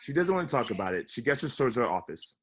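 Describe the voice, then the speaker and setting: A man's voice: mid-range voice, very animated, fast talker, fairly bright tone, slightly rough. A man reading stage directions aloud as the narrator of a scripted table read.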